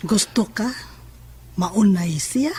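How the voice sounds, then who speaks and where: A woman playing a menacing, self-assured sorceress in a horror audio drama, speaking in a deliberate, sinister way. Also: mid-range voice, animated, a little mellow, breathy edge, full character voice. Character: menacing, self-assured female sorceress who casts curses. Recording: music under the voice.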